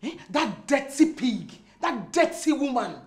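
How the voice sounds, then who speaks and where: A high-pitched, indignant Nigerian wife. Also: female voice, very animated, measured pace. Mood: outraged. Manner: confrontational, repeats rhetorical questions. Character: indignant, jealous wife scolding her cheating husband. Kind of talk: Nollywood comedy film acting.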